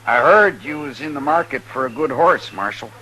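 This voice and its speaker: An easygoing, rustic frontier horse trader in a 1950s radio western. His mid-pitched voice is very bright and cutting, with folksy phrasing and faint noise from the old recording. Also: lively intonation, moderate pace, low-quality recording.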